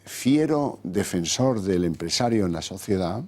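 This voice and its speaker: A man's voice: low voice, animated, unhurried, mellow. A man hosting a televised political talk show, introducing guests and posing ironic, rhetorical questions. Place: TV studio.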